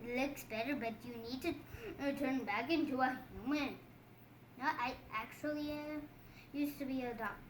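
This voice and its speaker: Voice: sits high, moderate pace. A child improvising a puppet show, theatrically acting out the characters with exclamations. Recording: echoey room with some background noise.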